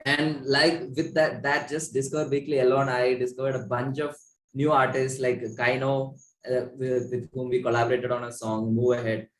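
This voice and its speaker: A man's voice: mid-range voice, flat delivery, normal speed. A young man with an Indian accent, chatting casually and enthusiastically as an interview guest. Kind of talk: casual chat. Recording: echoey room.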